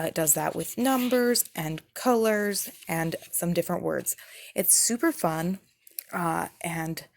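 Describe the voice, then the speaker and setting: Woman, thirties, fairly low voice, gravelly fry, conversational, explanatory, casual product review. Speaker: parent reviewing children's subscription boxes.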